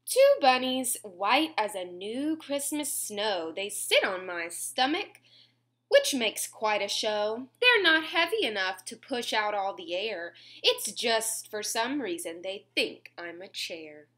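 A woman in her twenties reading a picture book aloud at home, expressively and clearly phrased for young children. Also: mid-range voice, big pitch swings, slow pace, fairly bright tone. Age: 20s. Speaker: children's story reader. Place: small room at home, indoors.

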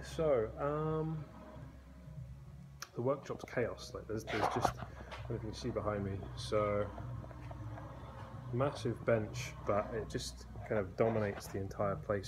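A man in his thirties walking through his workshop, filming himself on a phone held at arm's length and talking casually with many fillers. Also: on the low side. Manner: rambling and relaxed, many fillers and restarts. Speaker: blacksmith vlogger. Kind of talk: casual phone video walkthrough. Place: indoor workshop with concrete walls. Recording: noisy workshop phone recording.

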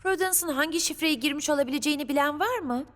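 A woman's voice: sits high, quick pace, a bit bright. A woman voicing a kind, eager, busy young girl heroine in an earnest, upbeat way for a children's cartoon dub. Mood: curious.

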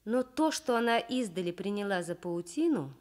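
A woman reading a children's story expressively for 1960s Soviet radio, with measured storytelling. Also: mid-range voice, animated, darkish tone.

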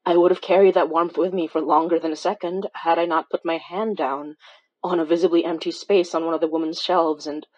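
A woman's voice: lowish voice, steady tone, brisk, a little mellow, dull audio, natural voice. An actress playing a wry, weary young woman who recounts eerie events in a conversational, hesitant way, as in a horror fiction podcast. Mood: wry storytelling. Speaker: audio drama lead narrator. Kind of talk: confessional first-person horror podcast narration.

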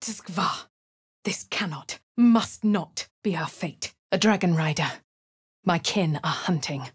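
An actress with a posh English accent playing a composed adventurer in a game, speaking in a dry, measured, lightly sardonic way. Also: female voice, on the low side, husky, dry recording, exaggerated voice. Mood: wry. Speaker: player character. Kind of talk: game voice lines. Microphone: studio mic.

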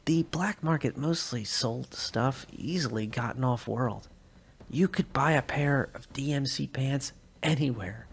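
Brief: male narrator, animated, raspy, reading dramatically. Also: medium pitch.

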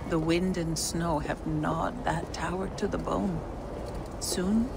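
A woman's voice: fairly low pitch, steady tone, slow, creaky, heavy background noise. A woman playing a grave, burdened giantess scholar and dutiful daughter in a video game, earnest and formal. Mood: wistful, solemn. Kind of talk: video game dramatic acting. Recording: loud music and ambience behind.

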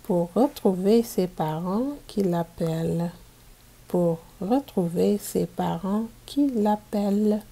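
A woman reading a picture book aloud to children as a teacher, in a deliberate manner made for learners. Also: medium pitch, gravelly, dark tone. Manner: deliberate, repeats sentences for learners.

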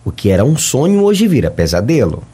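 Husky Brazilian newsman with swooping intonation. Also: mid-range voice, wildly swooping pitch, fairly quick, dark tone. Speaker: reporter narrating a TV news report. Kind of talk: scripted news narration.

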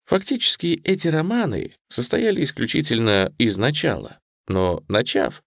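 A man narrating a first-person memoir audiobook in a reflective, expressive way. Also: fairly low pitch, gravelly, no echo, clean studio sound.

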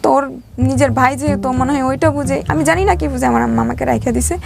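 A woman's voice: high voice, fairly even intonation, fast talker, gravelly. A woman with a Bangladeshi Bengali accent telling her life story as an interview guest, colloquially, voicing the dialogue she recalls. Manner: colloquial, acts out reported dialogue.

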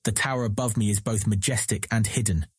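An actor playing a captured thief, earnest, proud and eloquent, speaking formally and measuredly in a fantasy audio drama. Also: male voice, lowish voice, steady tone, fairly quick, breathy edge, no room sound, clean studio sound. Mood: earnest pleading.

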